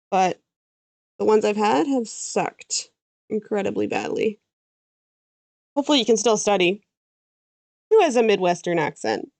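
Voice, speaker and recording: A woman's voice: mid-range voice, vocal fry, a little mellow, no room sound. A Canadian woman chatting casually while she draws on a livestream, thinking aloud and trailing off mid-sentence. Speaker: VTuber artist streaming her drawing.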